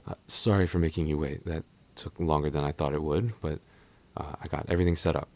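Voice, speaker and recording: A man playing a devoted, easily flustered young knight in an intimate roleplay audio drama, speaking politely and haltingly with soft attentiveness. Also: rumbling low, steady tone, noisy background. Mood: sheepish.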